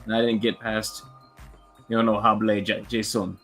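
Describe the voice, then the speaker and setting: A man's voice: fairly low pitch, little pitch movement, normal speed, over music. A man bantering casually as a co-host, with crude, sarcastic humor.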